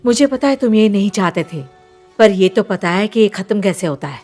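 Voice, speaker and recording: A woman's voice: medium pitch, expressive, normal speed, dark tone, soft background music, natural voice. Actress for a determined female game ally: earnest, urgent. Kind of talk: dubbed game cutscene acting.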